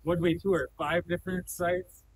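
Bearded guy in his 30s chatting with a farmer in a barn, slightly high voice, faint rumble behind. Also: level tone, moderate pace, poor audio. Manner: conversational, prompting with questions. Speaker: interviewer for a silo company. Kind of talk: on-site interview. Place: indoor cattle barn, large, open-sided.

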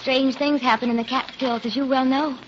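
An actress in a 1940s radio drama playing an earnest grown daughter, speaking in a clearly enunciated way. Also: female voice, sits high, fairly even intonation, a little fast, natural voice. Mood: gentle, explaining. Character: earnest grown daughter of a long-lost father. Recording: muffled old 1940s radio recording, faint noise.

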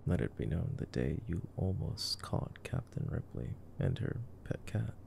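A man with a low voice and a very heavy creak chats casually in an ASMR boyfriend roleplay. He reacts in a playful, wry way, and his pitch moves around a lot. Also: sing-song, unhurried, background noise. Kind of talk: ASMR sleep-aid movie commentary, casual chat.

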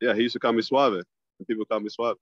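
A man, a stand-up comic, chatting casually as a podcast guest over a phone video call, loose and relaxed, often restarting his phrases. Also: mid-range voice, fast, no room sound, dull audio. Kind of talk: casual conversation.

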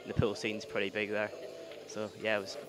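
Flat low man over loud crowd. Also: brisk. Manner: hesitant, many fillers. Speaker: pool player. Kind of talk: interview answers. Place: pool hall. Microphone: handheld mic.

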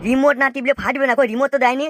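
Performer voicing high, quick-talking excitable cartoon sidekick. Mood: nervous. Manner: nagging, excitable, slangy. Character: excitable, wary male cartoon sidekick, crude and nagging. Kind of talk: comic cartoon dubbing. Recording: clear music under the voice.

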